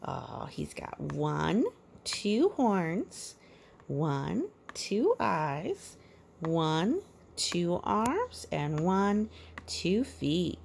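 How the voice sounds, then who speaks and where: A woman reading a children's picture book aloud, playful and sing-song, pausing for asides and counting as if talking to small children. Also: lowish voice, very animated, creaky, a little mellow, slight background noise.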